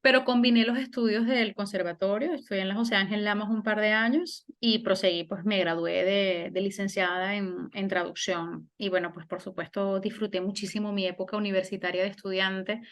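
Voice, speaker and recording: A woman's voice: medium pitch, steady tone, fairly quick, hardly any pauses. A middle-aged woman from Venezuela, a singer and German teacher, talking thoughtfully in an interview with frequent restarts. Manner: reflective, many restarts and fillers. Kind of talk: conversational interview.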